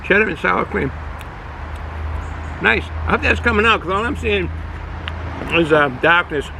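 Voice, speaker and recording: A man's voice: sits high, lively intonation, vocal fry, background noise. Boston man rambling through a beer review. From Boston area, United States. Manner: rambling, halting, many fillers and restarts. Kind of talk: casual unscripted review. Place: outdoors, sun in his eyes.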